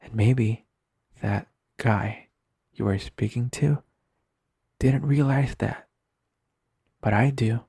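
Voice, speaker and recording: A man playing a gentle, caring best friend, speaking in a soft, breathy voice with soothing, earnest, unhurried delivery in an intimate comfort roleplay over music. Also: medium pitch, no room sound, clean studio sound, exaggerated voice. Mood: tender, reassuring. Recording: music under the voice. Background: soft instrumental music.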